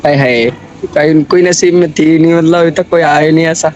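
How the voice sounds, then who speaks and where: A man's voice: a little high, fairly even intonation, moderate pace, muffled recording. A man from northern India chatting casually in a bashful, hesitant way over game voice chat. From northern India, Meerut area. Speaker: prank target in game chat.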